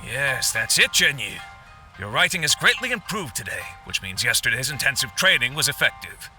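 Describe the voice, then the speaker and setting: An actor playing a strict, pushy editor driving a pupil on in a video game. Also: male voice, mid-range voice, very animated, normal speed, sharp tone, faint music behind. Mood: approving. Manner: exacting, pushy.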